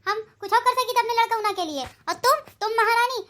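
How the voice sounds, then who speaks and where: A woman voicing sharp-tongued, combative village women in a comic cartoon, with an Awadhi Hindi accent. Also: very high-pitched, fairly quick. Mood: scolding. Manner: scolding, combative. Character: sharp-tongued village wife and her scolding mother-in-law, cartoon.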